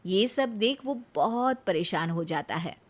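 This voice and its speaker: A woman's voice: mid-range voice, animated, a little slow. A woman giving scripted narration of a cartoon story as its narrator.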